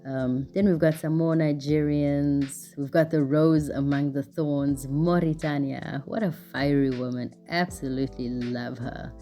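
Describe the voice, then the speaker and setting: A woman's voice: sits low, fairly even intonation, unhurried, hardly any pauses, dark tone, faint music behind. A young woman from South Africa narrating her travel vlog in a chatty, casual way, full of "like" and light laughs. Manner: chatty, lots of "like", laughs often. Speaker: vlogger.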